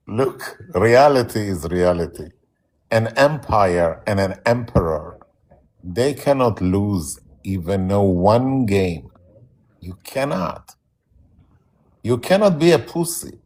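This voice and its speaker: A man's voice: lowish voice, darkish tone. A man arguing bluntly in a live online debate, in broken English. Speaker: debate participant. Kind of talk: heated political debate.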